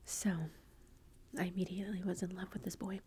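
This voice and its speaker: A woman, a mother, telling a story about a movie in a soft, chatty whisper full of fillers. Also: lowish voice, flat delivery, brisk, slight background noise.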